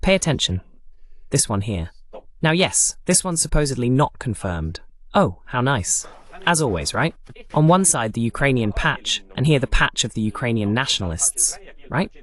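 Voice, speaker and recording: A man's voice: mid-range voice, sing-song, normal speed, a bit bright. A man hosting a political news broadcast, giving running commentary on war footage with pointed, sardonic asides.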